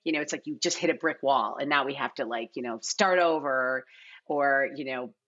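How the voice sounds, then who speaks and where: A middle-aged woman with a notably low voice speaks casually in an interview. She circles back and repeats phrases for emphasis. The sound is a little dull and lo-fi, like a video call. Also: moderate pace, a bit bright. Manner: informal, repeats herself for emphasis. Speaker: casting director, podcast guest. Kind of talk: casual podcast interview talk. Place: large living room at home.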